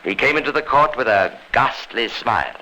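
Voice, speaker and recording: A man narrating as a grave, authoritative storyteller in 1950s radio crime drama, formal, dramatic and deliberate. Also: medium pitch, animated, pushed and bright, low-quality recording. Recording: old radio recording with faint noise.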